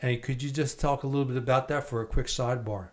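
Brief: older male host, steady affirming interviewer, studio mic. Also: medium pitch, quick pace. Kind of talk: interview questions. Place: small podcast studio. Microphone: studio mic on stand, headphones.

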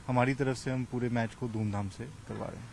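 A man's voice: mid-range voice, steady tone, a little fast, darkish tone. A man, answering interview questions as a sports official, mixing in English words, outdoors. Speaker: cricket association official, interviewee. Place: outdoors in a large empty cricket stadium. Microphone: handheld interview mic held toward him. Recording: clear outdoor ambient noise.

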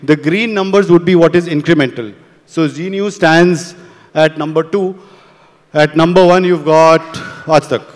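A man on a conference panel runs quickly through charts and audience figures, stumbling now and then on fillers. His voice sits a little high. It reaches us through a handheld mic in a large, reverberant hall. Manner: rapid, data-heavy delivery with fillers. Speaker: panelist presenting audience data.

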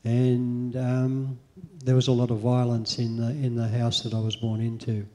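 A middle-aged Australian man speaking on stage into a handheld mic, halting with many ums as he answers interview questions. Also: medium pitch, completely flat delivery, mellow. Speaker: guest speaker. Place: convention hall stage.

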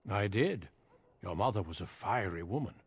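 Low, husky warrior commander. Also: male voice, expressive, moderate pace, no room sound, exaggerated voice. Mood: composed. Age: middle-aged. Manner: formal, composed. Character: grave, courteous middle-aged human warrior commander. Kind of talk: fantasy video game acting.

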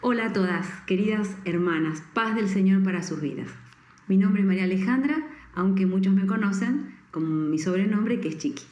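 An older woman addresses the camera in a formal, devout style. Her pitch is medium, and the small room adds a clear echo. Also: moderate pace, darkish tone, lo-fi sound. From Argentina. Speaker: church member giving testimony. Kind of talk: religious testimony to camera. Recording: echoey room.

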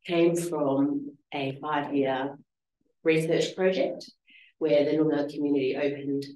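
A woman with an Australian accent and a soft, quiet voice, speaking gently and reflectively about her writing, with many small ums. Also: deep voice, fairly even intonation, slow pace, highs cut off. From Western Australia. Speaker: author reading and in conversation. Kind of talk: literary conversation and reading intro. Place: lecture room, seated on a small stage. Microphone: handheld mic held at chest. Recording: roomy lecture hall echo.

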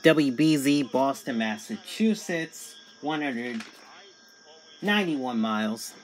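A man's voice: mid-range voice, lively intonation, slow, slight background noise. A man reviewing a radio, listing each station's call letters, city and distance as he tunes. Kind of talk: casual product review. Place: small indoor room at a table.

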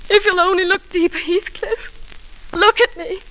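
An actress playing an unhappy, lovesick young wife in a 1940s radio drama, emotional and theatrical. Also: female voice, very high voice, fairly even intonation, measured pace, sharp tone, full character voice, rough recording. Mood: pleading.

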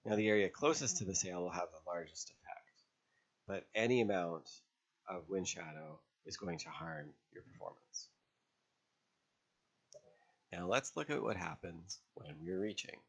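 A man narrating an instructional lesson as an instructor, explaining step by step in a measured way with pauses. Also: low-pitched, husky, muffled recording. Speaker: sailing instructor. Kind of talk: instructional narration over drawn diagrams.

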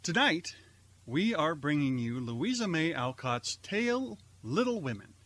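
A man's voice: fairly high pitch, big pitch swings, measured pace, fairly bright tone. A man hosting an online radio theater show, giving a scripted introduction. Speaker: host and announcer of an amateur online radio theater.

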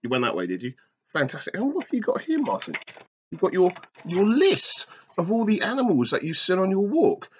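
A man's voice: high voice, huge pitch swings, brisk, slight background noise. A man in his thirties hosting a kids' activity video from a small brick-walled room, talking back to a silent toy and joking as he explains. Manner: jokey, teacherly, talks back to a silent toy. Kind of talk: playful talk to a toy puppet. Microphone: webcam in front of him.

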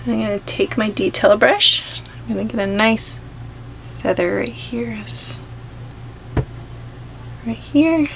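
Gentle woman teaching painting, gravelly edge. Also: a little high, lively intonation, a little hiss or hum, low-quality recording. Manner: gentle, unhurried explaining while painting. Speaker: art teacher. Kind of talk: step-by-step painting tutorial. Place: home studio, overhead camera on painting table.

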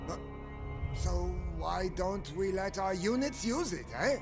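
An actor playing a curious, deferential, somewhat naive soldier in a video game, hesitant and questioning. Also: male voice, high-pitched, lively intonation, slow, full character voice. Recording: music behind the voice.

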